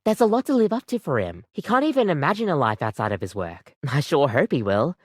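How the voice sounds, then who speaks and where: A woman's voice: low-pitched, sing-song, fairly quick, darkish tone, no room sound, clean studio sound. A woman voicing a friendly, chatty coffee maker come to life as a barista in a video game, with bubbly, slightly awkward customer patter. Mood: chatty, wry. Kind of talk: animated video game voice acting.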